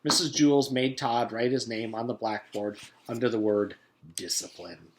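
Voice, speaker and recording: A man in his forties reads a children's story aloud at a medium pitch. His phrasing is expressive and deliberate, like a teacher reading to a class. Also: a little hiss or hum, low-quality recording. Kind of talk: children's book read-aloud. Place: small room at home.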